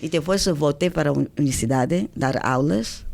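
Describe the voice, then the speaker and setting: A woman's voice: low-pitched, fairly quick, creaky, darkish tone. An older woman from China with a Chinese accent, answering interview questions in a casual, hesitant way with many fillers and restarts. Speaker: guest author.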